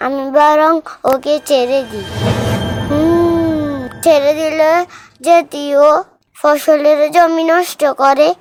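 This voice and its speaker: A performer voicing a mischievous, stubborn village boy in a cartoon, chatty and self-assured as he explains things simply. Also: high voice. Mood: musing. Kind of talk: cartoon voice acting. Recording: music behind the voice.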